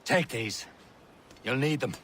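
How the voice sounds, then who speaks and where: An actor playing a steady, plain-spoken sailor and loyal first mate in a video game cutscene. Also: male voice, mid-range voice, slow, a little hiss or hum. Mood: urgent command.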